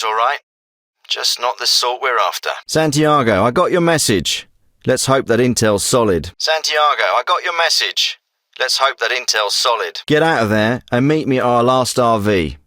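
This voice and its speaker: A special forces commander in a military shooter. His voice is hard-edged and very bright, with animated pitch movement. He gives orders in a terse, businesslike, authoritative manner, recorded close and dry. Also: dry recording. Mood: terse, focused, then urgent, businesslike. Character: gruff, businesslike male special forces commander briefing an operative. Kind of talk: scripted military video game dialogue.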